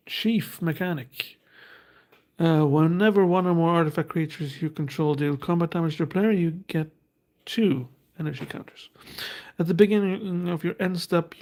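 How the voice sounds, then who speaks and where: A man's voice: on the high side, a little mellow. A man, hosting a casual unboxing and reading card text aloud in a hesitant, thinking-aloud way. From Norway. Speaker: host.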